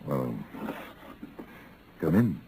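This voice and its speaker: An actor playing a cool, cultured gunfighter who speaks formally and precisely in an old-time radio Western. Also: male voice, rumbling low, sing-song, drawn-out words, warm tone, heavily acted. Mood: gently courteous. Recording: noisy vintage radio recording.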